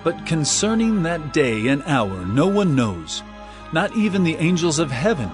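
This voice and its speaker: Solemn holy teacher, earnest, declamatory, fairly high male voice, lively pitch swings, music behind, audio Bible drama. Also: measured pace. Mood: solemn proclamation.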